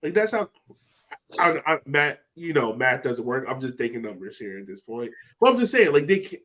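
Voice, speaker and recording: A man's voice: medium pitch, very animated, normal speed, fairly bright tone. A man chats loosely on a sports podcast. He rambles through his points and laughs easily. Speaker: podcast co-host. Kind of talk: casual chat.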